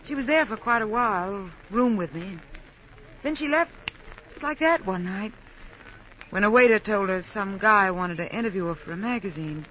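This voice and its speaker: A woman's voice: medium pitch, lively intonation, moderate pace, sharp tone. An American actress playing a weary, guarded young woman on the run in a 1950s radio drama. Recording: muffled, faintly noisy 1950s radio recording.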